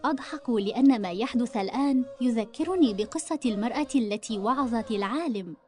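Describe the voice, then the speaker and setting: A woman voicing a friendly, wise talking book in a children's cartoon, telling moral stories in a measured, instructive way. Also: a little high, expressive, darkish tone, faint music behind, lightly acted. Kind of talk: cartoon dialogue and storytelling in formal Arabic.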